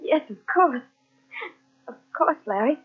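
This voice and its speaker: A woman's voice: high voice, lively intonation, takes it slowly. An actress playing a frightened, polite young woman in a 1940s radio crime drama, breathless and anxious. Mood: anxious, pleading. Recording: muffled old radio recording.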